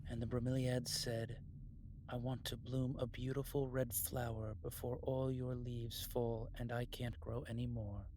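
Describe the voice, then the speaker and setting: A man's voice: medium pitch, measured pace, close to an everyday voice. A man playing a blunt, determined rebel. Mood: storytelling. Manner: plain, blunt, matter-of-fact. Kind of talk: audio drama acting. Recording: steady background hiss.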